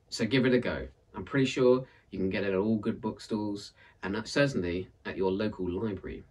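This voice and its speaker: A man in his thirties with a husky voice, reading and presenting a book as an expressive storyteller. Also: lowish voice, recorded from a distance. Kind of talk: expressive read-aloud storytelling and book introduction. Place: indoors in front of a purple backdrop.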